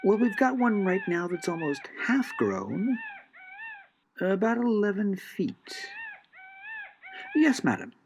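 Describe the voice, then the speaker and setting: A man's voice: sits high, wildly swooping pitch, slow, pauses often, slight background noise, full character voice. A man playing an eccentric, obliging exotic pet shop owner taking a call in a comedic audio drama. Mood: eagerly obliging.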